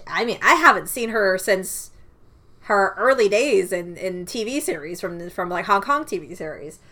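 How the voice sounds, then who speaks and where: A woman with a bright, clear voice talks through a film review in a loose, casual way. She laughs often and restarts her phrases. Her first language is Cantonese. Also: medium pitch. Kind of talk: casual chat.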